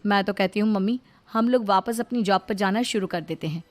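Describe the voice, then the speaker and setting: A woman's voice: mid-range voice, a little fast, natural voice. A woman voicing a worried, earnest young daughter in a cartoon story. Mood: fretful. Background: faint background music.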